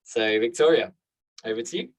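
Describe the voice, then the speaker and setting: A man's voice: medium pitch, expressive, a little fast, darkish tone. A man hosting an online event in an informal presenting style, with an upbeat, appreciative manner. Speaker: event host.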